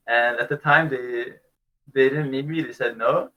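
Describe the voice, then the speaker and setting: A man's voice: medium pitch, fairly even intonation, a little slow, highs cut off. A young man from Canada, answering interview questions over a video call in a laid-back way, chuckling now and then. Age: young adult. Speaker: guest.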